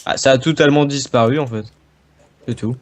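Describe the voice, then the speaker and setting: Teasing young man, mid-pitched. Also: fairly quick. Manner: teasing, chuckles at his own jokes. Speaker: co-player. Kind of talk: casual gaming chat.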